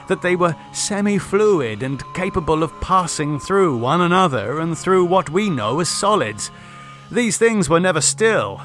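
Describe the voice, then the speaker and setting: A man reading a scripted horror story as an audiobook narrator, in a theatrical, deliberate manner. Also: fairly high pitch, a bit bright.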